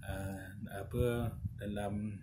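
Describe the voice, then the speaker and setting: A man's voice: lowish voice, level tone, extremely slow, noisy background. A man with a Malaysian accent giving a casual, explanatory product review, often adding little checking tags. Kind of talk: casual unboxing and review talk.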